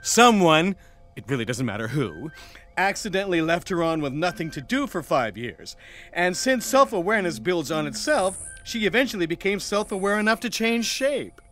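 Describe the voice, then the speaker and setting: An actor playing a hasty, excitable space agent in a theatrical, animated way for a sci-fi audio drama. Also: medium pitch, moderate pace, soft background music. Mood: explanatory.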